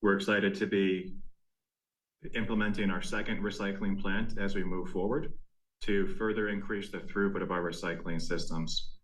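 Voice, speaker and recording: A man speaking as a company executive, delivering a prepared earnings presentation in a measured, formal way. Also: low-pitched, poor audio. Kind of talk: prepared corporate presentation, scripted narration.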